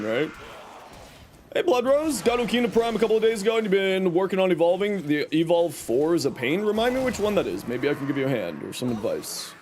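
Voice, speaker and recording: A man's voice: on the high side, a little mellow. A young man streaming a game and chatting with viewers, his voice scratchy from a cold, rambling with lots of fillers. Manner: rambling, reads and answers chat with many fillers.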